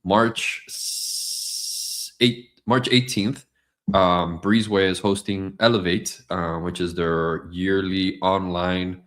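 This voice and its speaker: A man with a very deep voice co-hosting a business podcast. He is hoarse, close to losing his voice, and rambles through his points with many fillers and restarts. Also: steady tone, takes it slowly, fairly bright tone. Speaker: podcast co-host. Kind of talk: casual business podcast chat. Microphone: studio mic.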